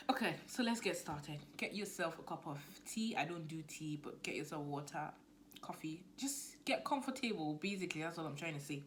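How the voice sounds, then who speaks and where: Nigerian woman, chatty low voice. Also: normal speed, a little hiss or hum. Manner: chatty, animated, drops to near-whisper asides. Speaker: business coach vlogger. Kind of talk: casual talk to camera.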